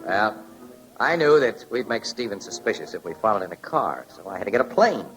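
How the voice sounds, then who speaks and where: An actor playing an easygoing, clever newspaper photographer sleuth, genially explaining, 1940s radio style. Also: male voice, on the low side, sing-song, brisk, low-quality recording.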